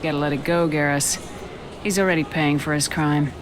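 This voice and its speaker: A woman playing a steady, compassionate military commander in a video game, speaking calmly and firmly in measured phrases. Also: sits low, noisy background, lightly acted. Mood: calm, persuasive. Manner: calm, firm, measured. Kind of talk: video game cutscene acting. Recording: loud music behind the voice.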